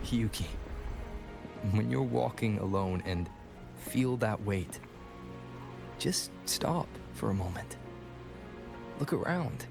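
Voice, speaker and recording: A man's voice: fairly low pitch, expressive, takes it slowly, gravelly, long pauses, heavily acted. An actor playing a resolute male hero who speaks earnestly to reassure a companion, in a game cutscene. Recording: with game music.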